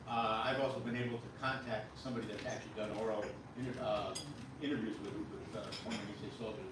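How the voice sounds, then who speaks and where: Man with frequent uhs in an echoey hall. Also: medium pitch. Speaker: board member presenting events. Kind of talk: casual program announcements. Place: hotel banquet room at a luncheon. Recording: echoey room, speaker far from mic, noisy.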